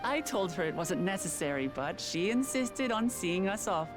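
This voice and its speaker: A woman's voice: on the low side, expressive, normal speed, music in the background, close to an everyday voice. A young woman voicing an earnest royal heir in a fantasy video game, sincere and resolute in manner. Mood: apologetic, fond.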